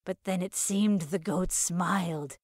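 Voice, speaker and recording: A woman playing a wise, slightly raspy, unflappable female mystic, speaking in a measured, solemn way in audio fiction. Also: fairly low pitch, a little mellow, no echo, studio quality. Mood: uneasy recounting. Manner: measured, solemn, cryptic storytelling.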